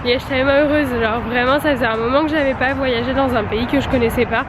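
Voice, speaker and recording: A young woman with a head cold talks excitedly into a handheld camera, her voice high and bright. She chatters in a loose, slangy vlog style. Heavy traffic and city noise roar behind her on the street. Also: fast, crowd noise. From Paris, France. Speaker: travel vlogger. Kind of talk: casual vlog talking to camera. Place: outdoors on busy city streets. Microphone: handheld camera mic.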